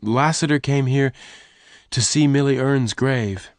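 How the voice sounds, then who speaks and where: A man's voice: mid-range voice, unhurried, no echo, pitched above the performer's own voice, natural voice. A man voicing an anxious young woman who pleads in a raised, emotional voice.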